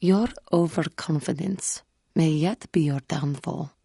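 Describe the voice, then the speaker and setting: A woman playing a wounded, earnest female alien Jedi in a video game, speaking in formal, measured phrases. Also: low-pitched, gravelly, dark tone, husky, dry recording, clean studio sound, full character voice. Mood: reproachful. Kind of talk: scripted video game character dialogue.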